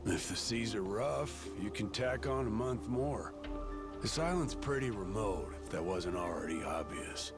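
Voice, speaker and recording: A man's voice: lowish voice, lively intonation, measured pace. An actor playing an unhelpful local boatman in a video game, offhand and mocking in his delivery. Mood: dismissive, mocking. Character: unhelpful, dismissive local boatman on a remote island. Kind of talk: video game cutscene acting. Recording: music clearly behind the dialogue.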